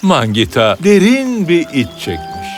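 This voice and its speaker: Male fairy-tale narrator, highly expressive swooping intonation, fairly high pitch, clear music bed. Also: unhurried. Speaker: storyteller, narrator. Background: background music.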